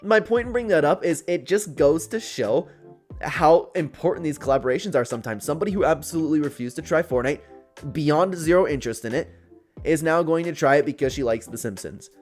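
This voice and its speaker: Young man, slightly high voice, informal gaming YouTuber, chatty commentary, music behind. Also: animated, fairly quick, a little mellow. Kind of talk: casual commentary reacting to read-out viewer posts.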